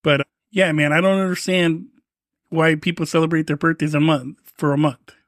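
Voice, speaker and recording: A man's voice: on the high side, flat delivery, moderate pace, no room sound, clean studio sound. A man in his fifties co-hosting a podcast, talking in a laid-back, rambling way, swearing often and restarting his sentences. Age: 50s. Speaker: podcast co-host. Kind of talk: casual chat.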